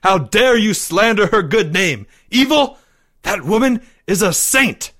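A man's voice: medium pitch, sing-song, takes it slowly, a bit bright, full character voice. A man voicing a lovestruck young boy in a storybook, theatrical and pompous. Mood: impassioned.

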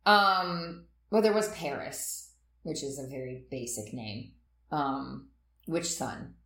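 A young woman co-hosting a podcast in casual chat, animated, laughing often and swearing freely. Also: a bass voice, slow pace, roomy sound. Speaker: podcast co-host.

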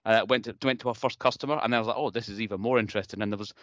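A man's voice: on the low side, fairly even intonation, very fast, a bit bright, no echo. A man with a British accent chatting casually as a technical co-host, rephrasing as he goes in an informal way. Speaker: podcast co-host, technical specialist.

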